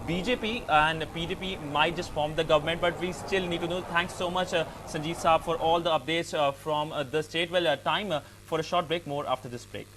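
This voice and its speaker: A man's voice: a little high, steady tone, fairly quick, few pauses, background noise. A man with an Indian English accent anchoring the news and questioning a reporter live.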